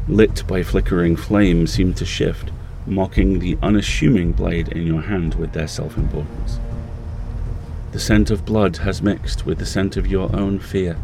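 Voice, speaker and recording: An actor playing an ominous, brooding narrator of a dark horror audio drama, deliberate and gravelly. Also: male voice. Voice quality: deep, gravelly. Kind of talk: slow, atmospheric second-person audio drama narration.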